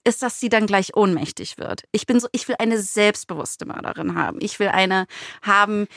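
A woman's voice: medium pitch, normal speed, creaky, a bit bright, no echo, clean studio sound. A woman co-hosting in casual discussion, informal and opinionated. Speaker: co-host.

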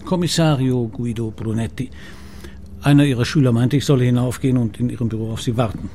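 An actor playing a persistent, politely ironic police commissioner in a naturalistic radio crime drama. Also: male voice, medium pitch, steady tone, fast, dark tone, a little hiss or hum, lightly acted. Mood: polite, probing. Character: male police commissioner, persistent, dryly sardonic.

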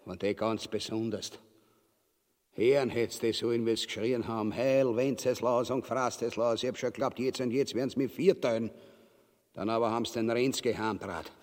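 An actor playing a crude, cowardly, pompous tyrant with a Viennese accent in a grotesque farce. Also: male voice, mid-range voice, fairly even intonation, normal speed, darkish tone, lightly acted. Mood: boastful grumbling. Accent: Viennese Austrian. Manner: pompous, blustering. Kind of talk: grotesque farce radio play acting. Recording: hall-like reverb.

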